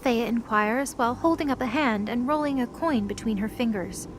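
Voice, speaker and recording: A woman's voice: fairly high pitch, normal speed, a little hiss or hum, close to an everyday voice. A woman narrator reading scripted third-person narration for an audio drama.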